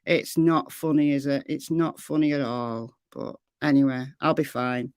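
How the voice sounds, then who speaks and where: Hoarse, rambling woman streamer. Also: very deep voice, steady tone, moderate pace, a little mellow, no echo, clean studio sound. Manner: rambling, chatty, trails off into fillers. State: slightly hoarse, losing her voice. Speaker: true crime livestream host. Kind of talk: casual livestream sign-off chat with viewers.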